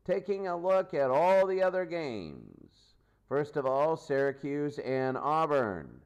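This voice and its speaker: Slow-paced man hosting a sports pregame. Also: a little high, big pitch swings, clean tone, muffled recording. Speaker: sports host and announcer. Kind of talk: unscripted sports pregame commentary.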